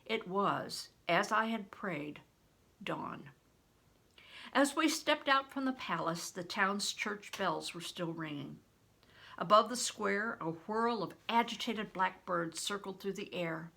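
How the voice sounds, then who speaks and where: An older woman, a grandmother, reading a storybook aloud in a deliberate, phrase-by-phrase way. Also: mid-range voice, expressive, vocal fry, sharp tone. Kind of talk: storybook narration with plain spoken asides.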